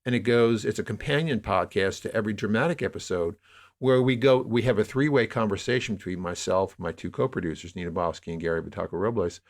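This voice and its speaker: Guy with a lowish voice, podcast guest explaining stuff, wordy, keeps rewording mid sentence. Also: fast. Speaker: podcast guest, audio drama producer. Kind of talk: conversational interview, explaining his work.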